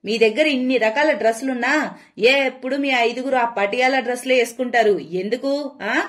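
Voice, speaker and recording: A woman's voice: on the high side, normal speed, natural voice. An exasperated middle-aged mother from a cartoon story, played by a woman narrator. She scolds in a sarcastic, impatient manner. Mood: scolding.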